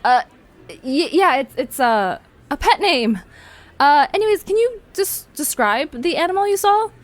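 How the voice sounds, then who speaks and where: Lively, higher-pitched actress as a blunt young woman. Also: a little slow. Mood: awkward. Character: blunt, flustered young woman leading amateur investigation. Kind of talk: audio drama dialogue, conversational.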